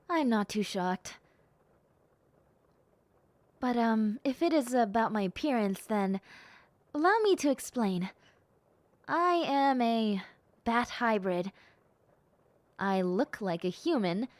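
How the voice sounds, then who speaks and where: A woman voicing a timid, excitable young bat-girl hybrid in a roleplay audio drama, polite and gushing, with hesitant little exclamations. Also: on the high side, dry recording. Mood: grateful, gentle.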